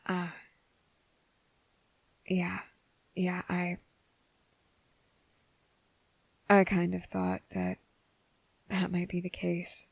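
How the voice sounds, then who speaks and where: Woman playing young male trainee, nervous and gentle, breathy half-whisper, slow hesitant pacing, ASMR roleplay. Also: fairly low pitch, monotone, no echo, heavily acted, low-quality recording. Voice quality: soft, breathy, slipping into whisper. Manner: halting, hesitant, often pausing mid-sentence. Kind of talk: ASMR roleplay addressed to the listener.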